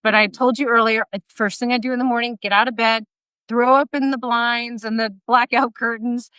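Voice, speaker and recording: A woman in her fifties hosting a casual interview over a video call, energetic and laughing often. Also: on the high side, brisk, smooth, dry recording, rough recording. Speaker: podcast host. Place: bright room at home, indoors. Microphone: video call, laptop mic.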